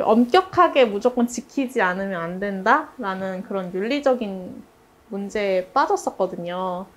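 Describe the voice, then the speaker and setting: A woman leading a casual group discussion, chatty and telling anecdotes in an animated way. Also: fairly high pitch. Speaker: interviewer and project member leading the discussion.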